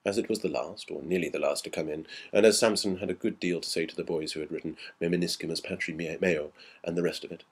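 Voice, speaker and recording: A man reading a ghost story dramatically, in a measured and reflective storytelling manner. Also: low voice, slightly rough. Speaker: audiobook reader.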